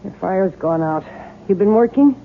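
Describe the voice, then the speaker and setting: A woman's voice: mid-range voice, expressive, normal speed, a little hiss or hum, close to an everyday voice. An actress playing a blunt, practical, nosy mother-in-law in a 1970s radio drama. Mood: nosy, disapproving. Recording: muffled narrow-band old radio broadcast.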